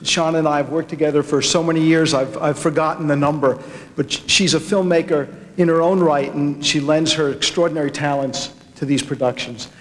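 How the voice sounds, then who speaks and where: A man giving an acceptance speech, somewhat halting, with repeated words. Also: fairly high pitch, fairly even intonation. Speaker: documentary producer accepting an award. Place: large hall at an awards ceremony. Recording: echoey large hall with long reverb.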